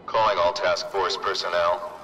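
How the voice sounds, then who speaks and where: A man's voice: low voice, level tone, normal speed, slight background noise. A man acting a stern task force commander, giving formal orders in a video game. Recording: distant voice under very loud music.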